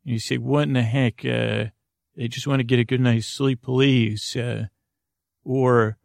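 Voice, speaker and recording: A man with a creaky voice hosting a sleep podcast in a meandering, halting, goofy and self-deprecating monologue. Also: medium pitch, level tone, no room sound, clean studio sound. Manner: meandering, halting, trailing off into tangents. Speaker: podcast host.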